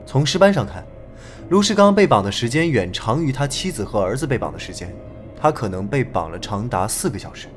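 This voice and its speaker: An actor playing a confident young police detective in an audio drama, laying out his reasoning in an articulate, methodical way. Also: fairly high pitch. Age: young adult. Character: confident young male police detective, analytical, returned from abroad. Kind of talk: Chinese audio drama acting.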